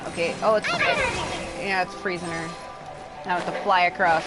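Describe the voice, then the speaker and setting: A woman's voice: lowish voice, wildly swooping pitch, normal speed, over loud music, poor audio. A woman livestreaming a video game with casual, rambling commentary, blunt and complaining, swearing freely.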